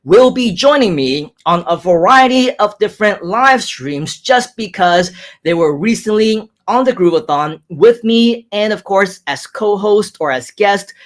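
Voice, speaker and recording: High-voiced upbeat live stream host. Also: male voice, animated, measured pace, fairly bright tone. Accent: American with Hong Kong hints. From Hong Kong. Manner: upbeat, chatty, repeats phrases, laughs often. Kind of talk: casual live stream chat reading comments.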